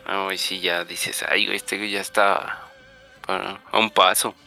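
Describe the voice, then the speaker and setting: A man's voice: sits low, little pitch movement, moderate pace, creaky, sharp tone, faint music behind. A young man in his twenties with a Mexican accent, co-hosting a casual podcast with friends and often cutting in. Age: 20s. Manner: interjecting, pleading with friends. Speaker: podcast co-host. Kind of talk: casual chat among friends.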